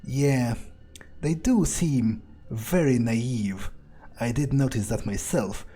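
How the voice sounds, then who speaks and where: A man's voice: medium pitch, slow pace, dark tone, breathy edge, faint music behind. A man role-playing a cynical, arrogant vampire in a game playthrough, musing aloud in a casual, hesitant way. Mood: dismissive, musing. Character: cynical, arrogant male vampire thinking aloud, coolly skeptical. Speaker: let's player role-playing in character. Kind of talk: in-character game playthrough commentary.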